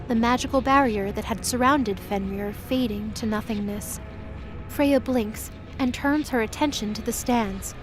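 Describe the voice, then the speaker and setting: A woman reading scripted, dramatic story narration for an audio drama. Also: on the high side.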